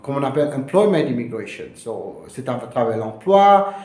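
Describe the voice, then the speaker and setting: A man from Mauritius, a lawyer explaining to camera in a halting way, full of fillers and English terms. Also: medium pitch, sing-song, darkish tone, roomy sound. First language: Mauritian Creole. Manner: hesitant, many fillers, slips into English terms. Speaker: immigration lawyer.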